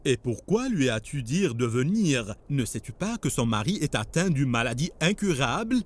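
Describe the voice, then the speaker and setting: An actor playing a stern, suspicious husband who questions and commands in a religious cartoon dub. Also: male voice, mid-range voice, big pitch swings, moderate pace. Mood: stern, reproachful. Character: stern, suspicious husband scolding his wife. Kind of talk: dubbed religious cartoon acting.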